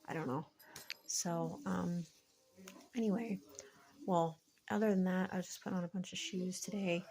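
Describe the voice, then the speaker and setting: Female reseller vlogger, slightly low register, creaky and tired with a cracking voice; informal, rambling, hesitant delivery. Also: steady tone, a little slow, long pauses, darkish tone. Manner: hesitant, meandering, heavy use of "like" and "you know". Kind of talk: casual rambling chat to viewers.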